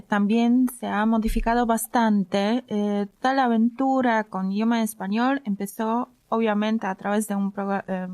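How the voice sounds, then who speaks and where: A woman in her thirties, a native Polish speaker with an Argentine Spanish accent, telling her story as a podcast guest with frequent "eh" pauses. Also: medium pitch, a little mellow, no room sound. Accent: Argentine Spanish, Rioplatense. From Poland. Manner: hesitant, frequent "eh" fillers. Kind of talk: casual conversational storytelling.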